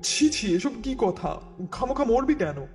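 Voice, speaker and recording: A man acts out an anxious schemer hiding behind friendly, coaxing talk in a dramatized audiobook. The voice is high for a man, with faint music beneath it. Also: very animated, a little slow, a little mellow, exaggerated voice. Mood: nervous, flustered.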